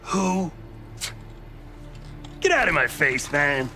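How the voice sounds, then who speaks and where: A man playing a gruff, loyal, sidelined CIA operative in a video game cutscene, with a gravelly American accent and blunt, streetwise talk. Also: on the high side, big pitch swings, takes it slowly, pushed and bright, soft background music, heavily acted. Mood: mock annoyed.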